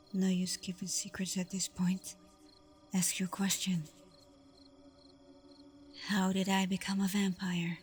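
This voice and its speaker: Soft, even-toned vampire girlfriend, acted by a woman. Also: medium pitch, natural voice. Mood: vulnerable, confessing. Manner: soft, breathy, hesitant, reassuring, often dropping toward a whisper. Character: tender, protective young female vampire girlfriend, secretive and self-doubting. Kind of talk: intimate ASMR girlfriend roleplay.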